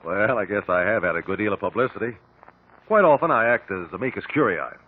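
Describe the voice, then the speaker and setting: An actor playing a genial, educated American court investigator in a 1950s radio drama, speaking in courteous, precise words. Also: male voice, lowish voice, expressive, quick pace, narrow, tinny audio. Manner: articulate, courteous, precise wording. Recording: noisy, band-limited old radio recording.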